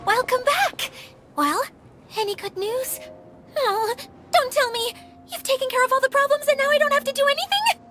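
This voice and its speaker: A woman voicing an easygoing, kindly spirit in a video game, with a breezy and expressive delivery. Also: very high-pitched, moderate pace, over music. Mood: hopeful, dramatic.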